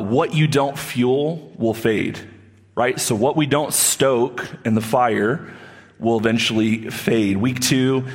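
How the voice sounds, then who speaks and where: A male pastor with a booming voice, preaching a sermon and leading prayer in a church on a live microphone, earnest and repeating key phrases for emphasis. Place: church sanctuary. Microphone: live amplified microphone.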